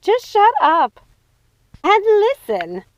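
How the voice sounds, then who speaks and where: A woman voicing a bossy, excitable witch sister in a storybook, emphatic and exasperated. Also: very high voice, very slow, dry recording, dull audio, pitched above the performer's own voice, heavily acted. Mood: bossy, amused.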